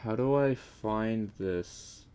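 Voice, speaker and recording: A man's voice: fairly low pitch, extremely slow, a little mellow, smooth. A man talking casually among friends in a group voice chat.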